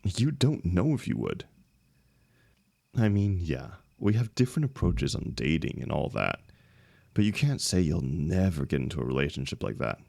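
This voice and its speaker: Very deep, gravelly young heartbreaker, acted by a man. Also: very animated, darkish tone, dry recording, full character voice. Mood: teasing, defensive. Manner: casual, halting, emotional, swinging emphasis. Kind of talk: intimate one-sided audio roleplay.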